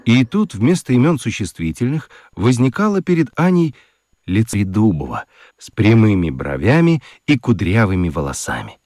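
A man narrating a children's radio story in an expressive, theatrical, confiding way with playful gravity and dramatic pauses. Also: fairly low pitch, no room sound, clean studio sound. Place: studio. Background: music.

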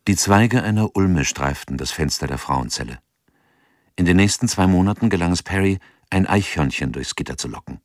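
A sober chronicler narrates a documentary radio drama. His voice is very deep, with animated intonation. The sound is dry, with no room around it. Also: normal speed, no echo. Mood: sober, factual. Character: sober male chronicler of a crime case.

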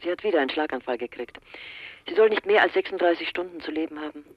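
Cold businesslike wife in a radio play, brisk, matter of fact, kinda bitter. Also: female voice, lowish voice, lively intonation, dry recording, muffled recording.

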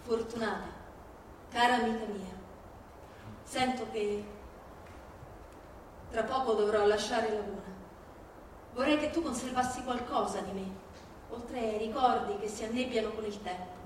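A woman playing a tender young woman reading out her farewell letter in an intimate, measured stage monologue. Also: a little high, lightly acted. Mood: tender, wistful. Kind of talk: theatrical monologue in a reading-concert. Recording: very echoey, distant, noisy live recording.

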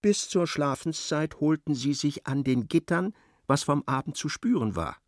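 A man narrating a literary radio drama in a measured, articulate, storytelling way. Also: a little high, lively intonation, no room sound, clean studio sound, natural voice.

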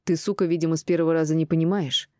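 A woman's voice: low-pitched, fairly even intonation, very fast, a little mellow, dry recording, clean studio sound, natural voice. A woman reading the part of a hot-tempered, jealous tough man, threatening and coarse, in an audiobook. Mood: furious threat.

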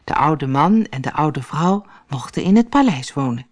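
A woman narrating a children's fairy tale as an audiobook reader, in a deliberate storytelling manner. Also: deep voice, lively intonation.

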